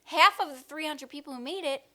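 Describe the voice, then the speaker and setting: A young woman co-hosting a podcast, reacting quickly and chatting casually. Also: high voice. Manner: lively, quick reactions, laughs often. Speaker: podcast co-host. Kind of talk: casual chat.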